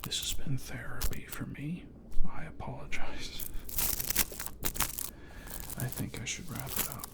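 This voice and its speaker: An ASMR streamer rambling candidly in a hushed, breathy whisper, as in a soft, unhurried chat with viewers. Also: mid-range voice.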